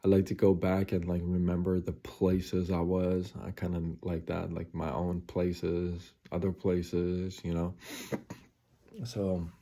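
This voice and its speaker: A man in his forties talks slowly in a very deep, mellow voice, hosting his own late-night live stream. He rambles, circles back and repeats himself, with plenty of ums and likes. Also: completely flat delivery. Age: 40s. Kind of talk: late-night casual monologue.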